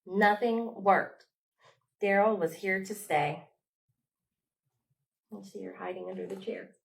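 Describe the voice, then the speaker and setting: A woman leading a storytime for young children, reading aloud expressively with dramatic pauses, like a children's librarian. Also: mid-range voice, steady tone, vocal fry.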